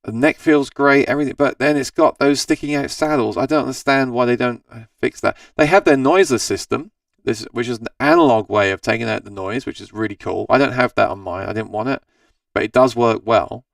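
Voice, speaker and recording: A man with a tired, scratchy voice hosts a casual live chat about guitars, rambling from one point to the next and often restarting his sentences. His tone is gravelly yet smooth underneath, and the sound is dry, sitting a little back from the microphone. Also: medium pitch, fairly quick, dry recording, recorded from a distance. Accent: Australian. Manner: rambling, self-correcting, chatty. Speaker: host of a live gear Q&A stream. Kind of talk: casual live-stream chat answering viewer comments.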